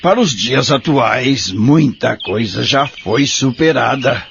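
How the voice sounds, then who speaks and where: A Brazilian man playing a wise, patient old man who gently admonishes his friend in an audio drama. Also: mid-range voice, expressive, takes it slowly, creaky, exaggerated voice. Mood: philosophical. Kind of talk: audio drama dialogue, measured moralizing. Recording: muffled recording with faint noise.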